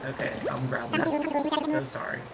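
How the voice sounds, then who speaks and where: A streamer with a raspy voice, feeling unwell, chatting casually while playing a game. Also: brisk, heavy background noise. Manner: chatty, upbeat, rambling asides with squealy excited spikes. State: unwell, under the weather. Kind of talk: casual gameplay livestream commentary. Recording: loud game music behind.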